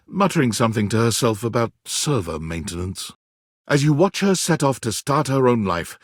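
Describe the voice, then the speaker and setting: A male video game narrator with a slightly rough, husky voice and wide, lively swings in pitch. He reads in a theatrical, deliberate way, relishing each phrase like a storyteller. The sound is dry and close, free of room. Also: no echo. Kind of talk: dramatic scripted narration.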